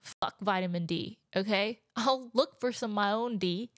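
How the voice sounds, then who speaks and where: A woman's voice: medium pitch, a little slow, no room sound. A young woman in her twenties hosting a casual solo podcast from her living room couch, chatty and candid, laughing now and then, speaking close into a handheld mic. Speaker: podcast host. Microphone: handheld dynamic mic, close.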